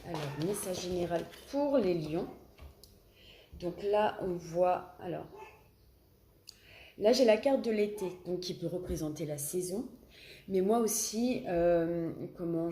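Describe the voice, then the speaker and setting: A woman's voice: lowish voice, warm tone. A woman with a French accent and a cold, giving a casual tarot reading, often hesitating and searching for words. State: has a cold. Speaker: tarot card reader. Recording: echoey room, faint background noise.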